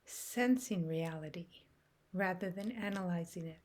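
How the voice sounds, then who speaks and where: A woman leading a guided meditation in a soft, soothing way, with measured phrasing and long pauses. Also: on the low side.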